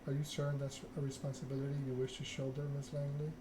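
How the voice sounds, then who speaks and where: A man's voice: medium pitch, monotone, fast, lightly acted. A man reading the part of a stern, regal female vampire ruler in a formal, solemn way. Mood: measured, authoritative. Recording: clear background noise.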